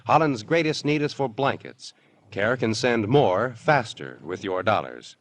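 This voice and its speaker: A man's voice: on the low side, lively intonation, measured pace, dry recording. A man announcing in formal, earnest 1950s radio style, reading from a script.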